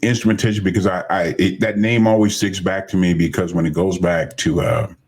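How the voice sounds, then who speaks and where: Deep husky male podcast host. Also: steady tone, fairly quick, a little mellow. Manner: admiring, searching for words. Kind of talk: podcast interview.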